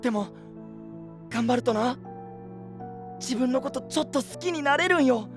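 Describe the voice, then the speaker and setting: High-pitched, boyish, earnest young knight. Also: male voice, lively intonation, moderate pace, music in the background. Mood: earnest, encouraging. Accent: western Japanese dialect, Oita. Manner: heartfelt, pleading, emphatic. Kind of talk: anime-style voice drama acting.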